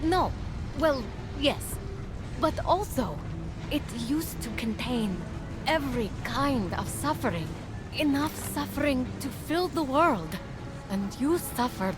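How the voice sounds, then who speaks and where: A woman's voice: on the high side, animated, takes it slowly. A woman playing an earnest, plucky young mortal heroine in a video game, speaking in a conversational, explanatory way. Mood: gentle, sympathetic. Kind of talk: video game dialogue acting. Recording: music under voice. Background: game score.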